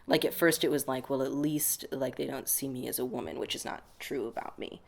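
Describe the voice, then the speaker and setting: A man's voice: mid-range voice, fairly even intonation, fairly quick, vocal fry, slight background noise. A young man from the United States, answering interview questions in a reflective, casual way, often saying "like". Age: young adult. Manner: reflective, many filler "like"s.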